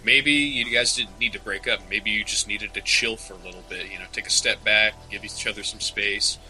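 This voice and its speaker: A man co-hosting a casual comedic advice podcast, talking in halting, slangy phrases and often repeating his words. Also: lowish voice, steady tone, sharp tone, low-quality recording. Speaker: podcast co-host.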